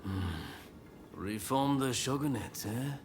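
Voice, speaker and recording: A man playing a laconic, thoughtful swordsman in a video game cutscene, talking in a measured, pondering way. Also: mid-range voice, slight background noise, natural voice. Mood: guarded, pondering.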